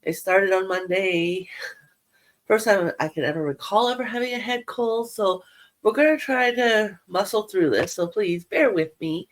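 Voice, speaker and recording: A woman's voice: medium pitch, expressive, moderate pace. A middle-aged woman, nasal from a head cold, chatting casually and rambling a little as she shows her knitting to viewers. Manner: chatty, rambling, self-deprecating. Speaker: yarn crafting vlogger. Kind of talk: casual show-and-tell chat.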